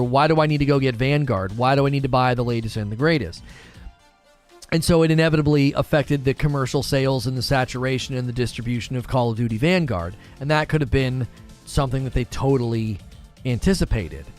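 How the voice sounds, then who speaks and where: A man in his forties hosting a casual gaming livestream, musing aloud in a relaxed, conversational way as he answers chat. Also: mid-range voice. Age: 40s. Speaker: gaming channel host reading live chat.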